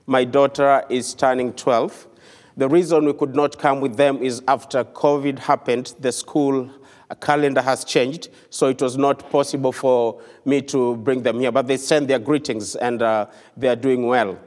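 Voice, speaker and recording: A man with a Kenyan accent speaks to a congregation at a middle pitch, earnest and conversational with a light touch of humor. His voice rings out with a long reverb through a large church hall. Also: steady tone, unhurried, darkish tone. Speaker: visiting missionary speaker. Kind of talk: church mission report. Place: church sanctuary.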